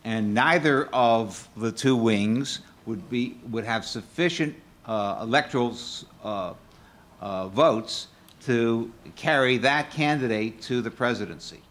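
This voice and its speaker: A man's voice: medium pitch, fairly bright tone. An older man on a panel, explaining an answer to an audience at a table microphone.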